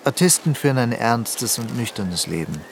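An actor playing a worldly, reserved journalist with a measured, suave manner in a radio drama. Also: male voice, mid-range voice, animated, a little hiss or hum, lightly acted. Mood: reserved, confiding. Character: worldly male Greek journalist, courting, reserved.

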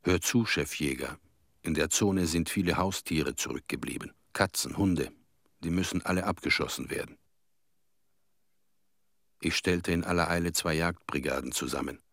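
An actor playing a plain-spoken chief hunter in a radio play, recalling grim events in a blunt, matter-of-fact way. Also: male voice, sits low, normal speed, gravelly, pauses often, a bit bright, no room sound, studio quality, full character voice.

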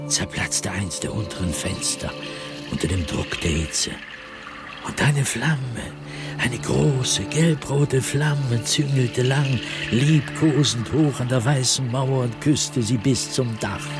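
An actor playing a terrified man descending into madness, delivering a theatrical, fervent diary monologue in a radio play. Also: medium pitch. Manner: haunted, theatrical, fervent, with dramatic pauses and whispers.

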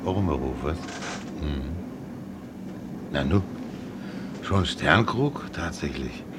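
Deep-voiced, wistful old tram driver. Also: male voice, animated, slow, heavily acted. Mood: fond, chatty. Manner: chatty, affectionate, sentimental muttering to himself. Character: proud, wistful old ex-tram driver, stubbornly in love with his tram. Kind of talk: German radio play acting. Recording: clearly noisy, tram rumble behind the voice. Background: vehicle and ambience noise.

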